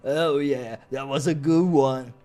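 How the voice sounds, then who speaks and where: Game villain-style warrior, acted by a man: loud, mocking, combative, voice pushed up above normal talk. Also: on the high side, pitched above the performer's own voice. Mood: amused, scornful. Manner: mocking, shouted taunts. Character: boisterous taunting male warrior, gruff and combative.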